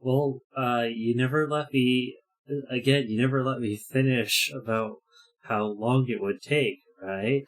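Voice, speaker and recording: A man acts as game master in a casual tabletop session. He speaks slowly and haltingly at an average pitch, with faint music behind him. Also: fairly even intonation, low-quality recording. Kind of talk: casual game narration and rulings.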